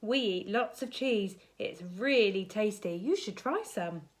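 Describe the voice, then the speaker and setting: A woman's voice: medium pitch, lively intonation, measured pace, natural voice. Woman playing a friendly greedy storybook cheese monster. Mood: friendly, jolly. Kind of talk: children's storybook character.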